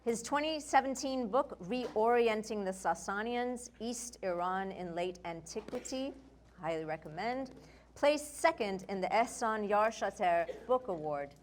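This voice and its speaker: A woman's voice: medium pitch, lively intonation, measured pace, a little hiss or hum. A woman moderating a conference panel, formally reading a speaker's introduction. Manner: formal, reads a prepared introduction. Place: lecture hall.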